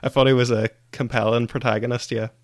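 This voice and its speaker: A man's voice: medium pitch, flat delivery, quick pace, a little hiss or hum. A man co-hosting a podcast, giving his opinions in a casual book discussion.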